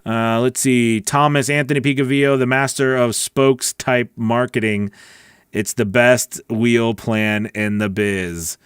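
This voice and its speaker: A man's voice: mid-range voice, steady tone, slow pace, fairly bright tone, clear, resonant tone, no room sound. Middle-aged man, dry close-miked sound. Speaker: host, voice-over coach. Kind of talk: casual livestream Q&A chat. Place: small home recording studio. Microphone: close broadcast mic on boom arm.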